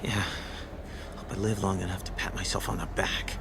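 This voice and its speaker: An actor playing a nervous young street hustler and informant in a video game, slangy and prone to stammering. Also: male voice, deep voice, level tone, moderate pace. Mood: wary. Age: young adult. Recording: clear background noise, vehicle ambience.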